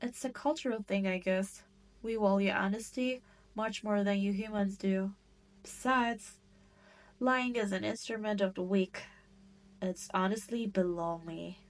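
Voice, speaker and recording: A woman's voice: medium pitch, fairly even intonation, natural voice. A woman voicing a cruel, haughty demon queen in a roleplay audio drama, with a teasing, condescending manner. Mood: haughty, matter-of-fact. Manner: slow, teasing, condescending drawl with theatrical emphasis.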